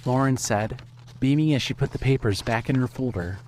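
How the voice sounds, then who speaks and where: A man's voice: mid-range voice, normal speed, darkish tone. Male fiction narrator, faint hum.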